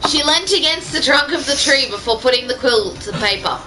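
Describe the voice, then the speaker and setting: A woman's voice: high voice, lively intonation, a little fast, sharp tone, rough recording. A woman reading fan fiction aloud in an amateur style, breaking off for casual banter. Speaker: reader.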